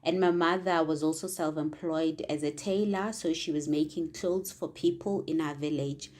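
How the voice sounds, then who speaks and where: Low-voiced South African woman, earnest. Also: darkish tone. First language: Sepedi. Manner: earnest, reflective, many fillers. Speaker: internal auditor. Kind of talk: career interview answers.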